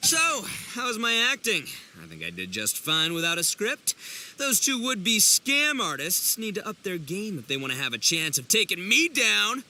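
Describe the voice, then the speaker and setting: A man's voice: sits high, wildly swooping pitch, moderate pace, bright, forceful tone, heavily acted. An actor playing a brash, gullible, overconfident young oni man in a video game, with boastful, theatrical, slangy delivery. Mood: smug. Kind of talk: animated video game voice acting.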